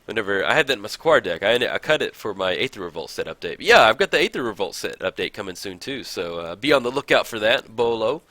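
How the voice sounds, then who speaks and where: A man casually commenting on his own card game match, thinking aloud with many fillers, his voice a bit raspy in the morning. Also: lowish voice, animated, fast talker, hardly any pauses, pushed and bright, dry recording. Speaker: card game video host.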